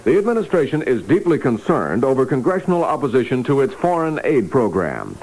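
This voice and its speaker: A male news anchor from 1960s radio reads scripted copy. His mid-pitched voice swings widely in intonation, on a vintage AM aircheck recording. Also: huge pitch swings, fairly quick, darkish tone, low-quality recording.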